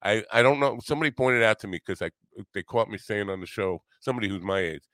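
A man of sixty-three hosting a podcast alone, talking in a casual, halting, meandering way with some swearing and self-deprecation. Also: on the low side, fairly bright tone, no room sound, clean studio sound. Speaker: podcast host. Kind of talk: casual rambling solo monologue.

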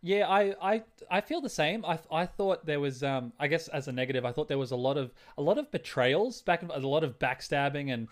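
A man's voice: fairly high pitch, animated, fairly quick, few pauses. A man co-hosting a casual movie review chat, thinking aloud in an informal, loosely structured way. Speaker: podcast co-host.

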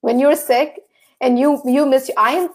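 High-voiced chatty woman host in her thirties. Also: steady tone, measured pace. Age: 30s. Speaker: podcast host. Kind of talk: casual live-stream interview.

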